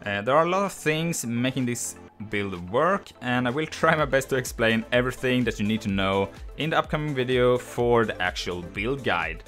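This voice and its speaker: Swedish-accented male gaming host, relaxed guide voice-over, mid-range pitch, faint music bed. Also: normal speed, hardly any pauses. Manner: relaxed, explanatory, slightly non-native phrasing.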